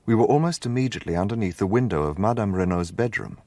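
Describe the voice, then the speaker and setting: An actor playing an earnest gentleman, the loyal companion who narrates a detective radio drama. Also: lowish voice, fast, no room sound, natural voice. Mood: measured, suspenseful.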